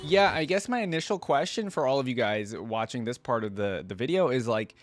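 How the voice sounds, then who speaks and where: A man's voice: medium pitch, animated, a little fast, music in the background. A man hosting a reaction video, chatting casually and rambling his thoughts to viewers on a close mic. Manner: rambling, musing, addresses viewers.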